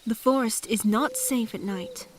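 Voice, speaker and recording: A mysterious woman of the woods played by a voice actress speaks in a fairly high voice with formal, measured, old-fashioned phrasing. She is calm and instructive, in audio drama style, with faint noise and crickets behind her. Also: close to an everyday voice. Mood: questioning, curious.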